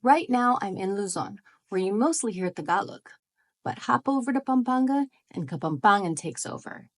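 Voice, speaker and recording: A woman speaks to her viewers in a friendly, explanatory tone. She often poses rhetorical questions in a voice of average pitch, as in a scripted vlog. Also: animated, moderate pace. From United States. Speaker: vlogger. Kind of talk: scripted talk to camera.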